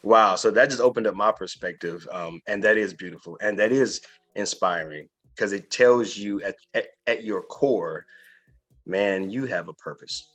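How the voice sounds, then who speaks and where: Low-voiced man, emphatic motivational podcast host. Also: moderate pace, fairly bright tone, faint music behind. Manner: earnest, emphatic motivational delivery with repeated phrases. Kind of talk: inspirational podcast interview.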